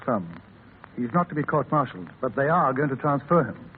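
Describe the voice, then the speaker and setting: Guy acting smooth rich gentleman suitor, courtly, medium pitch, tinny 1930s radio drama. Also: big pitch swings, a little fast, close to an everyday voice. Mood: courtly, wooing. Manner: polished, courtly, formal. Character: wealthy, suave gentleman suitor, courteous and self-assured. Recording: tinny, faintly noisy 1930s radio broadcast.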